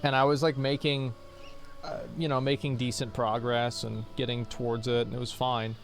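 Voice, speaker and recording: A man's voice: medium pitch, little pitch movement, normal speed, soft background music. A young man streaming a game from home, chatting casually with viewers, answering their questions in a blunt, rambling way. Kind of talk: casual chat with livestream viewers while gaming.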